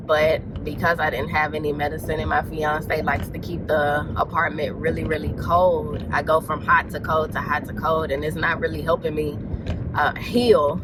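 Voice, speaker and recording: A woman's voice: deep voice, noisy background. A woman in her twenties with a stuffy, congested voice, chatting casually to her vlog audience. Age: 20s. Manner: chatty, rambling, says y'all. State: congested with a cold. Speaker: vlogger. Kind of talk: casual vlog talk to camera. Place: in a car on the way to errands.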